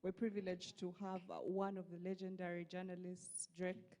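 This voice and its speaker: A woman's voice: on the low side, monotone, normal speed, warm tone. A woman, hosting live interviews at an event.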